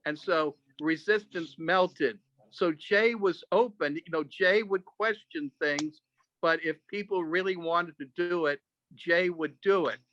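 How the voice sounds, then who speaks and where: A man telling earnest, halting reminiscences to a group over a video call. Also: fairly high pitch, a bit bright. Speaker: speaker sharing memories at an online club meeting. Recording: dull, dry video call audio.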